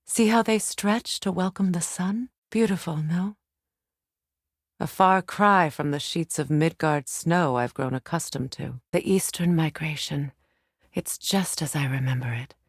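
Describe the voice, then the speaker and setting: An actress playing a proud, wounded, wise middle-aged Norse warrior sorceress in a video game, with measured, formal, dryly sardonic phrasing. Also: female voice, on the low side, no room sound, clean studio sound. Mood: wistful. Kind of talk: dramatic video game character acting.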